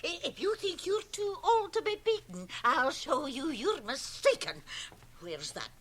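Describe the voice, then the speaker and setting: Sharp, high-voiced scolding mother. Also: sing-song, a little slow, exaggerated voice. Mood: furious. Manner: imperious, indignant, theatrical. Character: stern, volatile mother scolding her young son. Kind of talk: radio drama acting. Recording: hissy home cassette transfer of radio drama.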